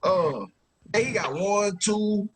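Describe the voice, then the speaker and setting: A man's voice: high voice, slow pace, crowd noise, rough recording. A man hosting a podcast in casual, slangy banter peppered with profanity. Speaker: podcast host.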